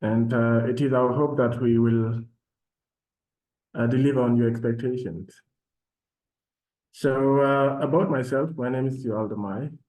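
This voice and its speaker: A man from South Sudan speaking as a panelist in an online session, with measured, formal phrasing. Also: medium pitch, fairly even intonation, a little mellow, low-quality recording. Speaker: panelist and program alumnus. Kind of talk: panel talk, self-introduction.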